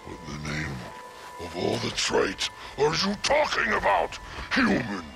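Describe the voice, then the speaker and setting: A gravelly, weary Sasquatch. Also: male voice, medium pitch, wildly swooping pitch, a little slow, sharp tone, faint music behind, exaggerated voice. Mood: bitter. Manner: bitter, pleading outbursts. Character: last lonely male Sasquatch, weary and proud. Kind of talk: video game cutscene acting.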